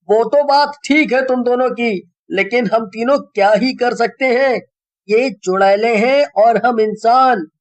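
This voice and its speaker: A frightened young man from a comic horror storybook, acted out by a man. His voice is extremely high and whiny, with slow, exaggerated, pleading phrasing. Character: frightened, whining young male friends trapped by witches.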